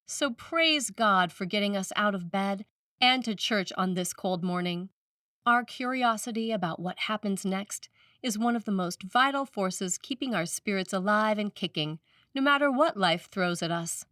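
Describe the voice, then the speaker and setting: A woman priest reading a scripted sermon in a measured, deliberate way, with pauses for emphasis. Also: medium pitch, animated, bright, forceful tone, dry recording. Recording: slightly distant microphone, dry room.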